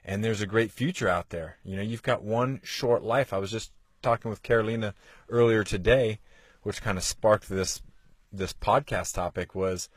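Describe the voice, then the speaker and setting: Earnest low-voiced motivational man in his forties. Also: moderate pace, dry recording. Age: 40s. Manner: earnest, exhorting, rhetorical questions. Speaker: podcast host. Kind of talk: motivational podcast talk.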